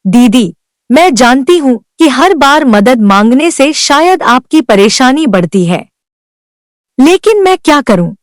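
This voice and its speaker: A woman's voice: sits high, sing-song, takes it slowly, no room sound, clean studio sound, exaggerated voice. A woman voicing Sunita, a worn-down young village wife who pleads earnestly for help in a cartoon. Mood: pleading. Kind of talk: Hindi cartoon voice acting.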